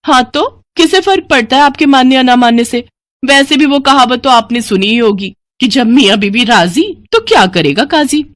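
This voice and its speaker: A woman's voice: sits high, lively intonation, moderate pace, no echo, voice pushed up. A woman voicing a haughty, brazen modern young bride in a moral story, cheeky and defiant. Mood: defiant, smug.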